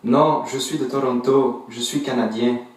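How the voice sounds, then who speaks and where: A man's voice: mid-range voice, steady tone, unhurried, natural voice. A man voicing a young male student in a textbook listening exercise, answering plainly. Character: young male student giving his nationality. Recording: echoey, distant textbook audio.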